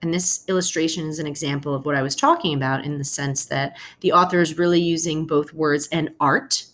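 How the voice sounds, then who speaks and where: A woman's voice: sits low, steady tone, a little fast, fairly bright tone. A woman teacher speaking to students as she reads a children's picture book aloud, explaining things informally and phrasing carefully.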